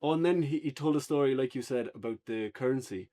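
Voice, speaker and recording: An Irish man chatting casually as a podcast co-host, unscripted, halting at times as he searches for words. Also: mid-range voice, dark tone. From Ireland. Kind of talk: casual unscripted review chat.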